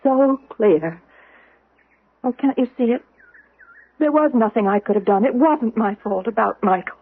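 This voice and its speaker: A dazed, earnest woman in an old radio play. Her voice is fairly high and breathy, with a dreamy, entranced delivery. The recording is dull and lo-fi. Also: lively intonation, a little slow, exaggerated voice. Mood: dreamy, relieved. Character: dazed woman released from guilt, dreamy and relieved. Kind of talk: 1970s radio drama acting.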